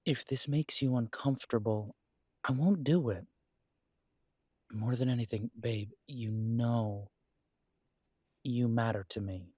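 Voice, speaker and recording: Male actor as earnest werewolf lover, unhurried delivery, close dry sound, halting pauses, tender and protective, intimate audio drama. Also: medium pitch, darkish tone, breathy edge, no room sound. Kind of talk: intimate dramatic roleplay monologue to a partner.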